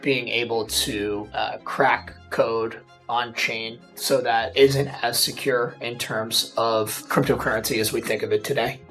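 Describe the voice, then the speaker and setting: A male podcast host with a medium-pitched voice introduces his guest and asks questions in an upbeat, friendly way. Faint music plays under him. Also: fairly even intonation, unhurried, few pauses, fairly bright tone, low-quality recording. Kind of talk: podcast interview.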